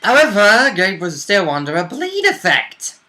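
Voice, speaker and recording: Bright-voiced man chiming in as a player. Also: high-pitched, huge pitch swings, a little slow. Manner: agreeable, chiming in with short confirmations. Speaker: player in a tabletop roleplaying group. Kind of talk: casual chat recapping a tabletop roleplaying game.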